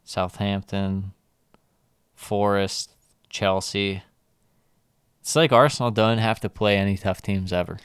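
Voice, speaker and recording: A man's voice: on the low side, flat delivery, slow pace, pauses often, clear, resonant tone, no echo, studio quality. A man co-hosting a football podcast in casual chat, reading out lineups and joking about player names. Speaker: podcast co-host.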